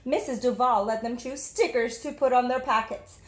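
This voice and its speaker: High-voiced woman reading a storybook, echoey room. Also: moderate pace. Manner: expressive, instructive. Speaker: teacher reading aloud. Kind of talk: storybook read-aloud with questions to children.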